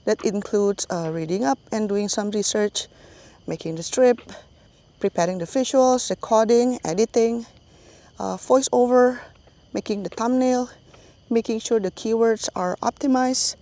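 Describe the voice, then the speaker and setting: A woman's voice: fairly high pitch, a little slow, dark tone. A middle-aged woman with a Singaporean English accent, talking casually to her channel's viewers and laughing often mid-sentence. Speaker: YouTube channel host. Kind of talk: casual voiceover chat to viewers.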